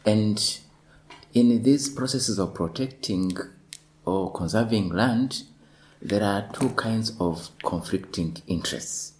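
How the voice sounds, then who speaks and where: A lawyer in his thirties answering interview questions deliberately, often repeating words as he searches for them. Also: fairly low pitch, a little mellow, slight background noise, poor audio. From northwestern Tanzania.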